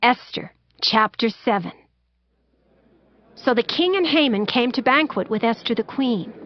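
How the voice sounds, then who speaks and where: A woman narrating scripture from a script in a measured, formal reading. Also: a little high, animated, dull audio.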